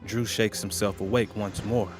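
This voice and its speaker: A man narrates an audio drama in the present tense. His voice sits fairly low, and music plays clearly behind him as he reads the scripted scenes. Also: fairly even intonation, moderate pace.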